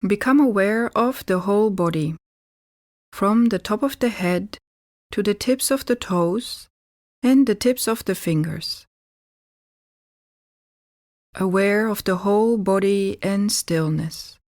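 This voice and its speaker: A woman leads a guided meditation at a very unhurried pace. Her mid-pitched voice is calm and deliberate, leaving pauses between gentle instructions. The sound is close and dry, with no room echo. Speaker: yoga nidra meditation teacher.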